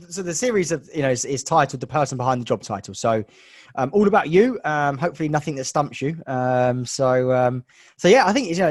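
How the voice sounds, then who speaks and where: A man's voice: on the high side, darkish tone, dry recording, studio quality. A man with an English accent hosting a podcast interview, chatty and joking, with plenty of fillers and restarts. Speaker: podcast host. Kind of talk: casual interview chat with a scripted intro.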